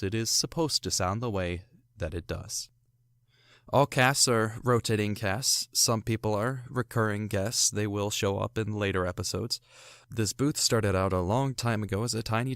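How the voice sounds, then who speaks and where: A male podcast host talks casually from a small soundproofed booth. His voice is low and creaky. The sound is very dry and close. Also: a bit bright, no echo. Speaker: host of an audio drama podcast. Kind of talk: casual spoken introduction.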